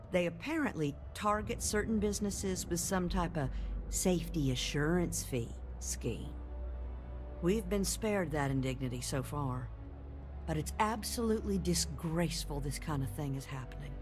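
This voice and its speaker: Polite, weary older shopkeeper. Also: female voice, low voice. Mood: mildly indignant. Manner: courteous, gently complaining. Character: polite, weary older female general-store keeper.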